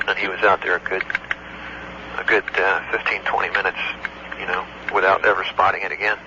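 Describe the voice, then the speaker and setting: A man, an air traffic control supervisor, recounting an incident in a matter-of-fact, halting way on an old taped phone call. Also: low-pitched, flat delivery. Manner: matter-of-fact, halting recall with technical detail. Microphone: telephone.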